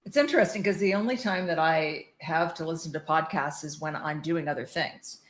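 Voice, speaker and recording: A woman speaks casually as an interview guest. The sound is clearly roomy and echoey, as on a remote call. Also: low-pitched, normal speed. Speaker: podcast guest, psychiatric practitioner.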